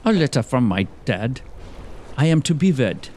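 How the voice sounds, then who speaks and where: A man playing a fussy, excitable young lawyer in a comic audio drama, with a fretful, theatrical delivery. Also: mid-range voice, moderate pace, slight background noise, close to an everyday voice. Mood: comic, self-important. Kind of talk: gothic comedy audio drama dialogue.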